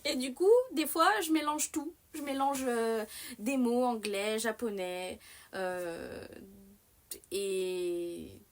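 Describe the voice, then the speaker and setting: Chatty, animated young woman streamer. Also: on the high side, takes it slowly. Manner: rambling, many fillers and restarts; animated, self-mocking. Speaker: livestream host. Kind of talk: casual livestream chat.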